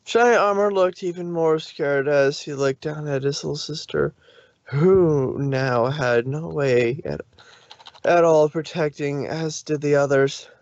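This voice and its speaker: Male narrator, halting read-aloud, lo-fi stream sound. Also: fairly high pitch, no room sound. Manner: halting, with slips and self-corrections. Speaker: narrator reader.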